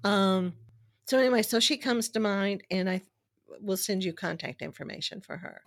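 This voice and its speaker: A woman talks as a podcast guest in a chatty, eager way. Her voice is going hoarse as she loses it. The sound is dry and close, with no room echo. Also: mid-range voice, moderate pace. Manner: chatty, recommends people with enthusiasm. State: losing her voice. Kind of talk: casual phone-style interview chat.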